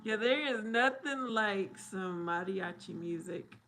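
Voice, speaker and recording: A woman's voice: medium pitch, slow pace, fairly bright tone, soft background music. A woman live streaming and chatting casually with viewers, answering them by name and laughing often. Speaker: live streamer reading chat.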